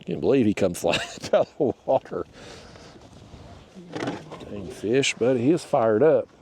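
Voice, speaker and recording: A man's voice: medium pitch, sing-song, unhurried, background noise. A man, stuffed up and nasal, chatting to viewers and to the fish while out on a kayak. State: stuffed-up, nasal from a cold wind. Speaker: kayak fishing channel host. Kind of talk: casual narration while fishing. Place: outdoors on a kayak on a river.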